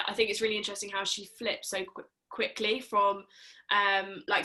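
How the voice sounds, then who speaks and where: A woman's voice: mid-range voice, sharp tone. A woman giving casual feedback as a cast member, thoughtful and hesitant. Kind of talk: casual discussion.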